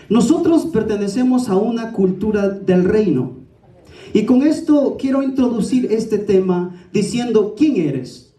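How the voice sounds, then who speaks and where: A male preacher with a high-pitched but very soft, dark-toned voice. He teaches and exhorts with direct questions to his listeners. The sound is roomy and echoing. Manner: didactic and exhorting, with rhetorical questions and direct address. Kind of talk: sermon. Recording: echoey room, distant from the mic.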